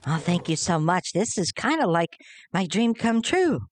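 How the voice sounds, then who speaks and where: A woman's voice: fairly low pitch, big pitch swings, moderate pace, background noise. A woman playing a meek, earnest young male elf who speaks politely and hesitantly in a comic Christmas radio play. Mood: eager, grateful.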